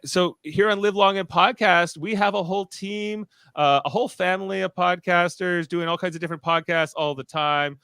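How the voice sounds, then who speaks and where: A man's voice: on the high side, pushed and bright, no echo, clean studio sound. A man hosting a podcast in casual unscripted chat, rambling and often interrupting himself. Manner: halting, rambling, self-interrupting. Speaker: podcast host.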